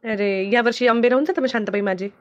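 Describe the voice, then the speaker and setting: A woman's voice: a little high, fast, lightly acted. A woman voicing a friendly, sensible village woman giving advice in a cartoon comedy, with a rural Maharashtrian accent, on a dull recording. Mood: eager. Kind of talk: animated cartoon comedy dialogue.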